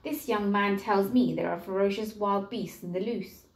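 A woman voicing a friendly but nervous bear in a children's storybook, with an expressive, questioning delivery. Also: mid-range voice, normal speed, roomy sound, natural voice. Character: friendly bear who turns nervous and fearful. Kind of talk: children's storybook read-aloud.

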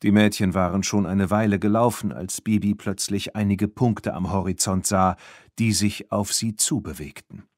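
A man narrating a children's audiobook in an expressive, scripted storytelling style. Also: fairly low pitch, moderate pace, dry recording, studio quality.

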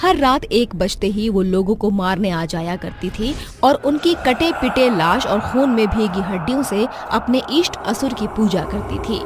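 A woman's voice: medium pitch, lively intonation, a little slow, hardly any pauses, background noise. A woman narrating a horror cartoon story with dramatic storyteller delivery.

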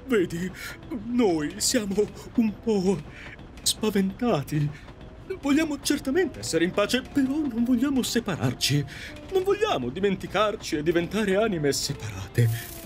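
A man's voice: high voice, very animated, a little slow, faint music behind. A man acting a wistful, romantic Victorian ghost who tells stories theatrically in an audio drama. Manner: theatrical storytelling.

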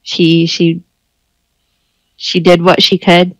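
A woman's voice: on the low side, completely flat delivery, breathy edge, no echo, poor audio. A woman playing a character in a tabletop game, speaking hesitantly as she thinks through her character's death. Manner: hesitant, slow and thoughtful. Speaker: player. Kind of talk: casual tabletop play.

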